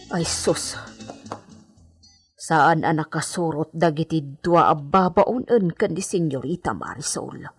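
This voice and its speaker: Fretful Ilocano maidservant, slow swooping voice. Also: female voice, fairly low pitch, exaggerated voice. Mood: flustered. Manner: hesitant, fretful, pleading. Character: anxious, deferential married maidservant of the villa. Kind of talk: Ilocano radio drama acting.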